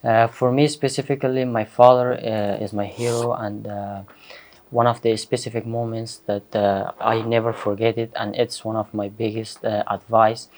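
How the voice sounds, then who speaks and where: Halting young man from Afghanistan. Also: fairly low pitch, level tone. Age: young adult. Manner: halting, many uh fillers, earnest. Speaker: student guest. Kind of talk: hesitant interview answers. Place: studio.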